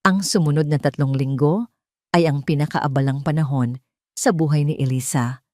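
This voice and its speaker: A woman's voice: low-pitched, moderate pace, a little mellow, dry recording, studio quality. A woman with a Filipino accent reading a scripted dramatic story as a narrator.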